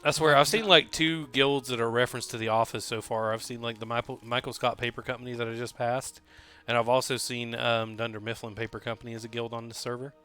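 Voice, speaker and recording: A man's voice: mid-range voice, level tone, quick pace, pushed and bright, smooth, faint music behind. A man in his late thirties streaming a game, chatting casually in a rambling way with lots of "like" and restarts, swearing freely. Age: 30s. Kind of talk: casual chat while gaming.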